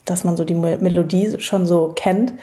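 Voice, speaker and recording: A woman's voice: fairly low pitch, steady tone, moderate pace, warm tone. A woman in her thirties from Berlin, a guest on a radio interview, talking in a reflective, hesitant way with many fillers.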